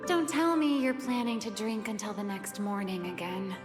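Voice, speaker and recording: An actress playing a sharp-tongued woman among colleagues in a video game, with a theatrical delivery. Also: mid-range voice, normal speed, natural voice. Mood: chiding. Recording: echoing hall, loud music behind.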